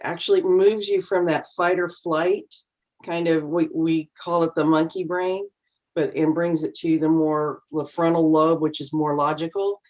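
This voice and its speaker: A woman with a low, deep voice gives advice to English learners on a video call. She explains patiently, encouraging them to practice, and sometimes acts out a nervous speaker. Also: level tone, a little slow, darkish tone, lo-fi sound. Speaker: co-host and speaking coach. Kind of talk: online English class coaching.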